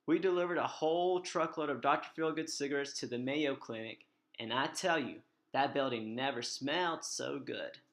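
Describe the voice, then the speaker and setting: A man playing a smug doctor turned cigarette boss, giving an earnest sales pitch in a spoof old-time radio advertisement. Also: normal speed, a bit bright, lightly acted. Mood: earnest selling.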